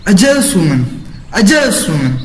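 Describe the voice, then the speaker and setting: A man's voice: high-pitched, big pitch swings, normal speed, slight background noise. A man playing a commanding lord and father in an amateur radio drama, speaking in a declamatory way. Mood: bossy. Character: bossy, impatient lord and father, later grieving. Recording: echoey room.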